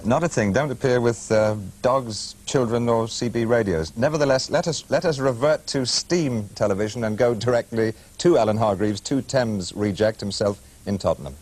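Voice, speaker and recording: A man's voice: mid-range voice, moderate pace, few pauses, a little mellow. A man with a British accent presenting a regional news programme from a script, with the odd wry aside. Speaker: studio presenter.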